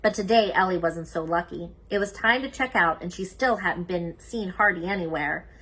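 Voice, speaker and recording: Female children's read-aloud narrator: emphatic, animated delivery, forceful brightness, husky edge. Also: lowish voice, moderate pace, rough recording. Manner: expressive, emphatic. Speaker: children's book reader.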